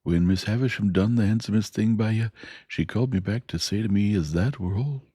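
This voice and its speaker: A man voicing a plain-spoken, kindly working-class blacksmith with speech, talking slowly and emphatically. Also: lowish voice, dark tone, slightly rough, no echo, studio quality, pitched above the performer's own voice. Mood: earnest. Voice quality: dialect grammar, slow and emphatic. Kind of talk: audiobook character voice.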